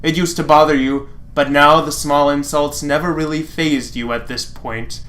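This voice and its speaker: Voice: mid-range voice, fairly even intonation, moderate pace, fairly bright tone. A sarcastic, mocking narrator reading fan fiction aloud and breaking off for dry commentary. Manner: sardonic, mocking. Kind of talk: dramatic reading with sarcastic asides.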